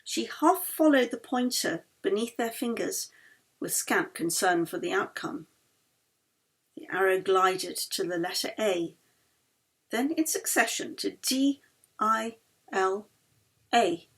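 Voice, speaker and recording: A woman reading her own novel aloud as an expressive audiobook narrator, with a slightly husky voice. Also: mid-range voice, slow pace, long pauses.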